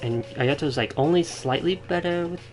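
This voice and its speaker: A man giving casual live-stream game commentary, rambling and halting as he thinks aloud. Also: on the high side. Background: game music.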